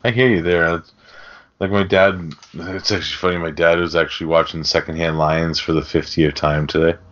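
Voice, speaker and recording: A man's voice: low-pitched, fairly even intonation, fairly quick, a bit bright. A man co-hosting a podcast, chatting casually about films in an informal, sweary way. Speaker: podcast co-host. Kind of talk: casual film chat. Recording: dull, lo-fi sound.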